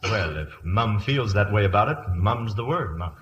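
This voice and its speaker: Cheeky, pompous butler, bright voice. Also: male voice, on the low side, expressive, moderate pace, lo-fi sound. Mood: eagerly officious. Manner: mock-formal, deferential patter. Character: cheeky, pompous English butler, unflappable wisecracker. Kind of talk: old-time radio comedy acting. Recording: old radio recording, faint noise.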